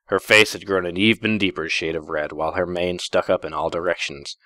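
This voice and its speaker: Low-voiced man reading fiction, measured. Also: steady tone, sharp tone, no room sound. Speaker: story narrator. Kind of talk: dramatic first-person fiction reading.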